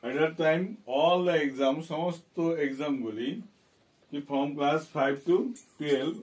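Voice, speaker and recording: A man with a Bengali accent teaching in a deliberate, dictation-like style, repeating phrases and checking that students follow. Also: on the high side, fairly even intonation. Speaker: English teacher. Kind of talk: dictation-style lesson, spelling out and translating phrases. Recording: distant microphone.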